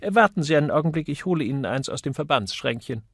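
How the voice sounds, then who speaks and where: Man voicing a coquettish, higher-pitched middle-aged widow. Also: animated, quick pace, gravelly, slightly rough, no echo, studio quality, full character voice. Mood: helpful, chatty. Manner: chatty, flirtatious.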